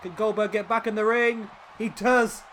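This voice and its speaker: Man giving excitable game commentary. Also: sits high, normal speed, a little hiss or hum. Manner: excitable, rising during big moves. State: sniffly with a cold. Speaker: gaming YouTuber commentating a wrestling game. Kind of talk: play-by-play commentary.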